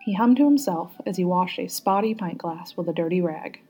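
A woman reads a story aloud with music behind her. Her voice is mellow and husky at a middling pitch, and she narrates expressively, like a teacher reading to a class. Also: moderate pace. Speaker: guest reader, public school teacher.

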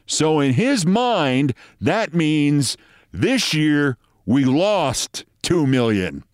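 A man voicing an amused, knowing senior baseball executive who explains things in a deliberate, emphatic, condescending way. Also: a little high, very animated, dry recording, studio quality, exaggerated voice. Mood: emphatic explaining.

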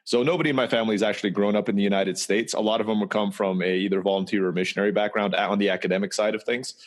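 A man who grew up in Turkey, telling his life story in a relaxed, conversational way, sometimes restarting and repeating words. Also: on the low side. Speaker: interview guest. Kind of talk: storytelling personal history.

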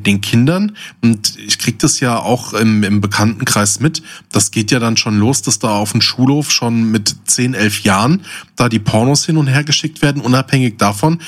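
A man talking casually as a podcast co-host, blunt, opinionated and colloquial. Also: medium pitch.

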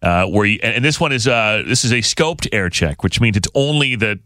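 Bright, animated man chatting as host. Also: lowish voice, a little fast, dry recording. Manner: rambling, self-interrupting. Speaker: radio show host. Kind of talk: casual unscripted podcast chat.

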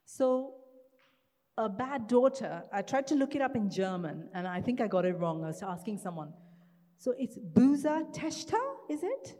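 A woman's voice: on the high side, lively intonation, normal speed, mellow. A woman moderating a live panel, introducing guests and asking questions in an upbeat way. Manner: upbeat, laughs at her own stumbles. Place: live event hall with audience. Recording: reverberant hall.

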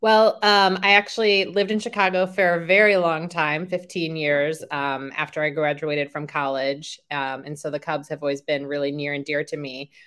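Low-voiced woman executive. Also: level tone, moderate pace, few pauses, fairly bright tone. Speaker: interview guest, sports marketing executive. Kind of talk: interview.

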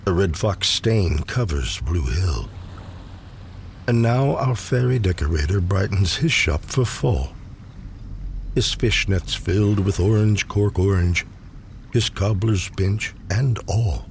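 A man reading poetry aloud in a dramatic recitation, with measured, deliberate phrasing and pauses. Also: sits low, gravelly, slight background noise. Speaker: poetry reader. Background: faint ambient sound design.

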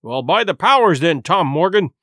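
A man acts out a shrewd, genial one-legged sailor who runs a tavern in an adventure storybook. He blusters heartily in salty seafaring talk, with a raised pitch that swings widely. The sound is dry and close. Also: expressive, normal speed, fairly bright tone, no room sound. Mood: stern, scolding. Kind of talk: audiobook character voice.

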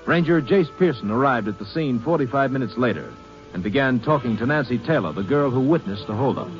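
Measured male narrator over music, dull old radio recording. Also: medium pitch, expressive. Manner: formal, measured.

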